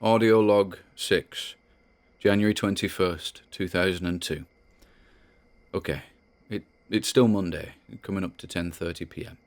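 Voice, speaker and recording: A spooked audio-log keeper, low voice, halting, rambling, nervous, audio drama monologue. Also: male voice. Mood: unnerved, urgent. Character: spooked, rambling man recording private audio logs.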